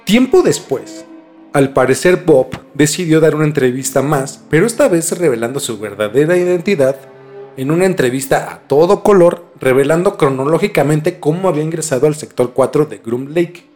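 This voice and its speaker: A man's voice: fairly high pitch, expressive, normal speed, a little mellow, faint music behind. A young Mexican man telling a story as a podcast host in a casual, slangy conversational way. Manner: informal, slangy.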